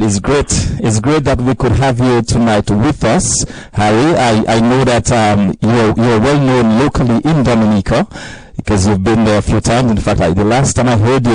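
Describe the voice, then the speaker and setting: A man's voice: fairly low pitch, moderate pace, hardly any pauses, a little mellow, no echo, rough recording. A man hosting a live radio interview, hesitant and often repeating his words as he speaks.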